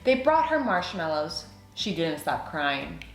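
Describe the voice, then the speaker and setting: Expressive woman reading a children's story. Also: deep voice, unhurried. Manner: expressive, clearly phrased. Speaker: read-aloud host. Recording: roomy sound, music underneath.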